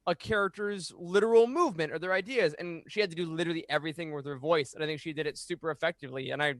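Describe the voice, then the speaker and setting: A man's voice: fairly high pitch, very fast, fairly bright tone, no room sound. A man in his thirties giving earnest, emphatic opinions in a casual podcast discussion, speaking close to a studio mic. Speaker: podcast co-host. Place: small home room, indoors. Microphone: boom-arm studio mic up close.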